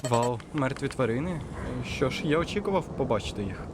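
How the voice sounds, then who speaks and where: A wary hunter stalks through the woods after a killer wolf, muttering to himself in a hesitant, uneasy way, as a man voices him. A loud tape hiss sits under his even, mid-pitched voice. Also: a little fast, close to an everyday voice. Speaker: voice actor in a fan dub. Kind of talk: dubbed analog horror narration.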